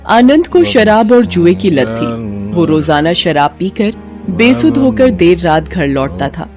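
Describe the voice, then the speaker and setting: A woman's voice: lowish voice, a little mellow. A woman narrating a scripted moral bedtime story as a storyteller.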